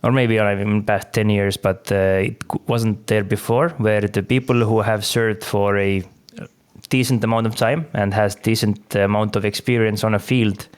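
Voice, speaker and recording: A man hosting a podcast in relaxed conversation who laughs easily and uses many fillers. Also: fairly low pitch, normal speed, clean tone. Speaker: podcast host. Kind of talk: casual interview talk.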